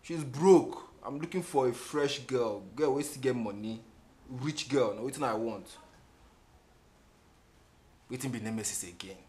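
A Nigerian man playing a brash, smooth-talking, gold-digging boyfriend in a film drama, emphatic and given to repeating himself. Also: mid-range voice, measured pace, long pauses, dark tone, lightly acted. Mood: boisterous, cynical. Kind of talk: film drama acting, mixing English and Nigerian Pidgin.

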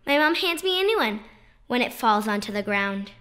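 A woman reading children's poetry aloud in an expressive, playful, sing-song and emphatic way. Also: a little high, normal speed. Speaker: children's poetry author and reader.

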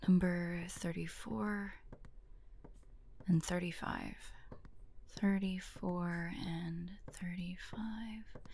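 A woman playing an anxious, forlorn young female hunter in an intimate audio roleplay, soft and breathy, often dropping to whispers. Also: on the low side, steady tone, extremely slow, pauses often, heavily acted. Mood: relieved, hesitant.

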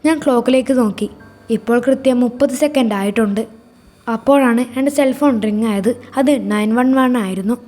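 A woman narrates a scripted first-person horror story in a measured, suspenseful way. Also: on the high side, mellow, faint music behind.

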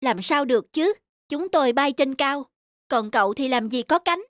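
An actor voicing a gentle, cautious female wild duck in a children's cartoon fable, explaining things patiently. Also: high-pitched, expressive, moderate pace, no echo, clean studio sound. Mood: doubtful.

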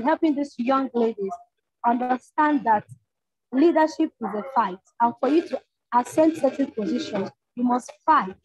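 A woman's voice: on the high side, a little mellow. A young Nigerian woman who leads a campus group, speaking earnestly on a panel over a video call, urging and repeating phrases for emphasis. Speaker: panelist, leader of a campus organization. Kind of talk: impassioned panel talk. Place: indoor room at home. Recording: muffled lo-fi video call audio.

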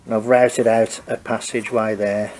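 A man's voice: fairly low pitch, flat delivery, moderate pace, darkish tone, a little hiss or hum. A man with a head cold, casually talking through his car wiring work in a home garage, hesitant and thinking aloud. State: congested from a cold. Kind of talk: casual workshop walkthrough.

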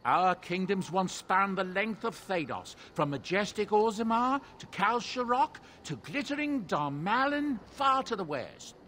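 Chatty middle-aged dwarf trader, theatrical game storyteller, lightly high voice with very varied pitch. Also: male voice, very animated, a little slow, few pauses, pushed and bright, slight background noise, heavily acted. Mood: wistful storytelling. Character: genial middle-aged male dwarf merchant, wistful storyteller. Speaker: merchant. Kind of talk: fantasy video game dialogue.

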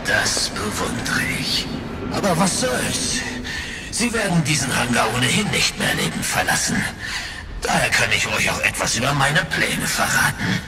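An actor playing a pompous, villainous space pirate baron in a sci-fi audio drama, gloating with drawn-out, theatrical phrasing. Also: male voice, medium pitch, very animated, loud music behind the voice. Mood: menacing.

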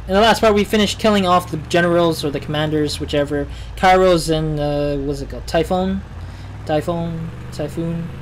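A man's voice: a little high, normal speed, a little hiss or hum, poor audio. Rambling young man with a Chinese accent. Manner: rambling, self-correcting, mutters to himself while playing. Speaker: gaming channel host. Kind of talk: casual let's-play commentary.

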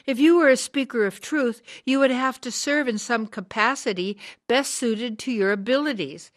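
Actress as hard, driven woman of sixty, radio drama. Earnest speechmaker, fairly high voice. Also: normal speed, natural voice. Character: brilliant, driven, hard sixty-year-old woman activist. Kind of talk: scripted radio drama acting.

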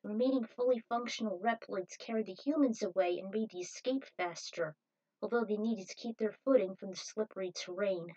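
A woman's voice: medium pitch, a little fast, warm tone, muffled recording. A woman reading a scripted adventure story aloud as narrator, in a dramatic manner.

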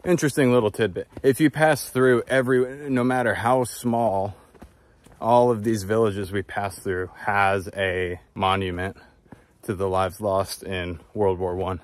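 Low-voiced man vlogging on a hike. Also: fairly even intonation, unhurried. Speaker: travel vlogger. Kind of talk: casual walking vlog chat. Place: outdoors on a countryside footpath. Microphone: phone at arm's length.

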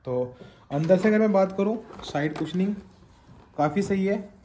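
A man's voice: sits high, lively intonation, moderate pace, dark tone, slight background noise. Chatty man reviewing gear at home. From India. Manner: chatty, addresses viewers as guys and friends, mixes in English phrases. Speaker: motovlogger reviewing a helmet. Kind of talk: casual unboxing review. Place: small home room with shelves of trophies, indoors.

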